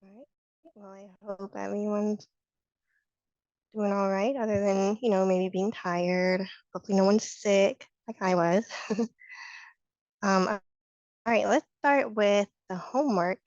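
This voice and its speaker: Woman, hoarse, online teacher, patient, encouraging, dull lo-fi video call audio. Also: medium pitch, steady tone, measured pace, pauses often, a little mellow, dry recording. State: hoarse from a cold. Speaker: sign language instructor.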